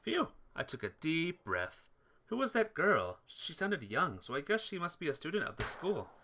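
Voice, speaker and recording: A man with a bright, lively voice talks casually over game music as he plays. He switches between offhand commentary and reading story text aloud, with his pitch moving around a lot. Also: normal speed. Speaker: streamer playing a visual novel.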